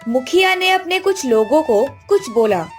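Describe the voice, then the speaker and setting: A woman's voice: sits high, very animated, moderate pace. A woman narrating a scripted cartoon story as the storyteller. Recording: faint background music.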